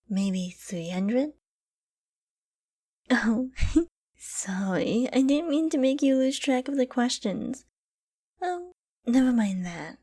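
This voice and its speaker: A woman voicing a possessive, lovestruck, dominant fox-girl mage in an intimate ASMR roleplay, speaking softly and often dropping to a whisper. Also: on the high side, heavily acted. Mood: needy, eager, then doting, sly. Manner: soft, slow, breathy, often dropping to a whisper.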